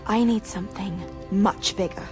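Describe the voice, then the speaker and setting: Woman playing a calm determined witch in a fantasy game, grief under it, soft music behind. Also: medium pitch, moderate pace, close to an everyday voice. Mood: guarded, persuasive. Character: resolute, grieving witch scholar, calm and persuasive. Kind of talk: video game cutscene acting.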